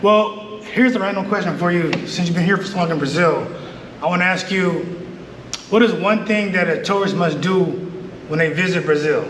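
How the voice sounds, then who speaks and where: A man's voice: high voice, moderate pace, a bit bright. A man hosting a casual travel interview, asking questions in a conversational way. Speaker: interviewer, travel show host. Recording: echoey, reverberant space with background noise.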